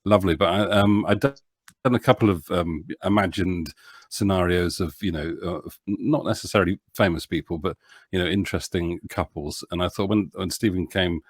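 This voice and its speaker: A man chatting casually as an interview guest, talking in a halting, rambling way and often interrupting himself. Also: low voice, slightly rough, no room sound, clean studio sound. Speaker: audio drama producer, interview guest.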